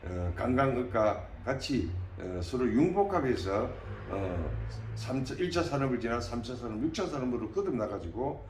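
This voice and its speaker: The recording is roomy and a little distant, with faint noise behind. A man in his late sixties speaks at a middling pitch. He answers like a candidate in an interview, earnest and deliberate, with halting phrasing. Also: big pitch swings, darkish tone. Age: late 60s. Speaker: political candidate being interviewed.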